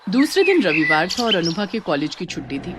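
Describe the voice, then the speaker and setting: A woman's voice: lowish voice, sing-song, a little fast, heavy background noise. A woman storyteller reading a scripted story as narration.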